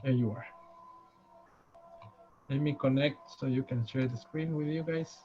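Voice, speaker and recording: A man's voice: mid-range voice, steady tone, measured pace. A man hosting a meeting, casually sorting out screen sharing before the talk starts. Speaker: meeting host. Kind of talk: casual technical chat. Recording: muffled recording with faint background noise.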